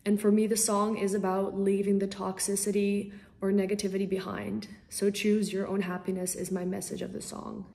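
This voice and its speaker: A woman's voice: medium pitch, little pitch movement, normal speed, vocal fry, few pauses, a little mellow. A young woman from the Netherlands, a singer-songwriter answering interview questions casually over a video call from a small room at home, bubbly and eager with frequent ums.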